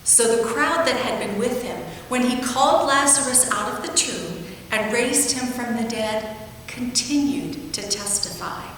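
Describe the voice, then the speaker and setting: A woman's voice: on the high side, measured pace, a bit bright. A woman giving a formal reading from the Bible. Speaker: scripture reader. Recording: very echoey large hall, distant, faint noise.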